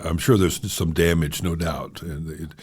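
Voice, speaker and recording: Man, very deep voice, Texan accent, podcast host, reflective, casual. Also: steady tone, moderate pace, a little mellow, husky. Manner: thoughtful, reflective, with a leisurely pace and frequent fillers. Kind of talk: casual interview.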